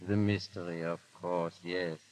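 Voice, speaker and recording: An actor playing a mild, reserved old priest in a 1930s radio drama, speaking in short, hesitant, polite phrases. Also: male voice, deep voice, level tone, measured pace, rough recording. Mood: quietly curious. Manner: hesitant, understated, politely terse.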